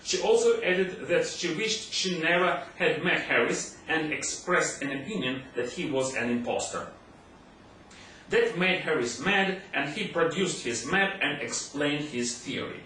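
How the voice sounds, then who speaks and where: Male audiobook reader, fairly high pitch, humorous classic fiction; distant, heavily echoing recording with faint noise. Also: a little slow, sharp tone. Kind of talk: scripted narration of a humorous classic story. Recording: very echoey room, distant mic, faint noise.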